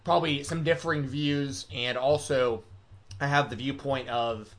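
A man of ordinary pitch hosting a live stream from home. He talks in a loose, easygoing way, circling back on himself with fillers and restarts. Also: a little slow. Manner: relaxed, rambling, many fillers and restarts. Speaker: podcast host. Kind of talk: casual live-stream chat.

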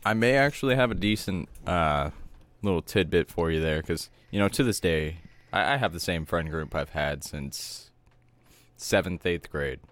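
A young adult man co-hosting a podcast, soft-spoken and calm, talking in a measured, reflective way. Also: deep voice. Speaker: podcast co-host. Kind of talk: casual chat.

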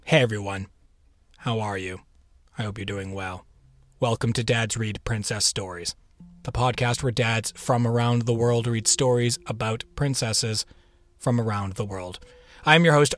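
A man speaks as a podcast host over faint music. His delivery is casual and chatty, with playful rhetorical questions and asides, in a medium-pitched voice. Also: a bit bright.

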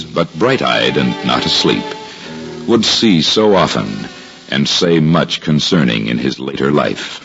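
A very deep-voiced, devout husband looks back on sacred days in a solemn, measured, formal manner. The sound is a dull 1950s radio drama recording with prominent music behind him. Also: male voice.

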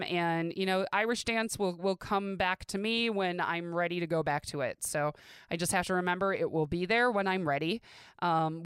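Podcast host: middle-aged American woman, clean slightly low voice, candid conversational delivery, dry closet recording. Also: fairly bright tone, clear, resonant tone, no room sound. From American Midwest. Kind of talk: casual personal podcast monologue. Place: inside a home closet.

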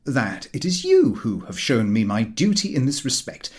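A man performs a scheming Edwardian married gentleman from a comic audiobook. He is wordy and emphatic, declaiming with self-righteous resolve and very wide swings of pitch. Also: wildly swooping pitch, moderate pace. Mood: declamatory, resolute.

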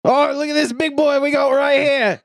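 A man doing an amateur Australian accent as an excitable, admiring wildlife showman. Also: extremely high pitch, normal speed, pitched above the performer's own voice. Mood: excited admiration. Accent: attempted Australian.

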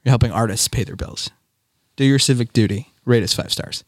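A young man hosting a music podcast, chatting in an animated, giggly way full of fillers. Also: mid-range voice, fairly quick, no room sound, studio quality. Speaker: podcast host. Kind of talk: upbeat podcast chat.

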